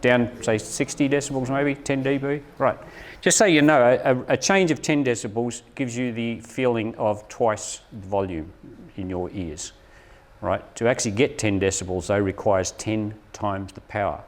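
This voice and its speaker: A man's voice: medium pitch, fairly even intonation, moderate pace. A man presenting a live workshop to teachers, explaining things and often checking in with "right?".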